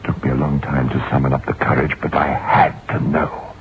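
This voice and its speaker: An actor playing a troubled, curious writer who recounts his dread in a deliberate, suspenseful old-time radio horror monologue. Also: very deep voice, level tone. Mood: hushed dread. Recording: muffled, noisy vintage radio recording.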